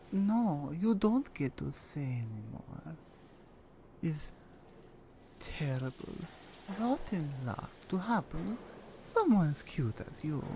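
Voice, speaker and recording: A woman playing a gravelly Russian mafia enforcer. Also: lowish voice, slight background noise. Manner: slow, teasing menace with soft whispered asides. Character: menacing female Russian mafia enforcer, cool and in control. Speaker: voice actress. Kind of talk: ASMR roleplay.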